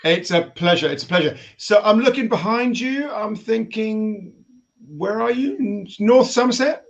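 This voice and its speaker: A man's voice: sits high, normal speed. A man with an English accent hosting a casual, bantering online interview over a video call. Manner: jokey, bantering. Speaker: host. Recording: slightly dull, lo-fi call audio.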